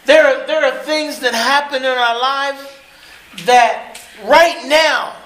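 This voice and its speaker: A man's voice: extremely high pitch, fairly even intonation, slow, fairly bright tone. A man preaching in short phrases for an interpreter, speaking in an informal, joking way. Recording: echoey room, faint noise.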